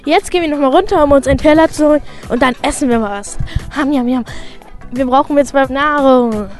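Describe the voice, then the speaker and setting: A woman's voice: high-pitched, a little fast, faint music behind. A woman reporting informally on location at a farm, chattily describing what is happening around her. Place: on a farm, mostly outdoors.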